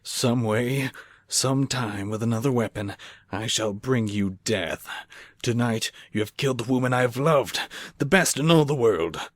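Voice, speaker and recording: A man's voice: medium pitch, measured pace, dry recording, close to an everyday voice. A man playing a haunted, defiant scientist in a horror radio play, with an earnest, theatrical delivery. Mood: anguished, vengeful. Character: anguished, haunted scientist and new husband, defiant. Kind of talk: amateur radio-play style horror acting.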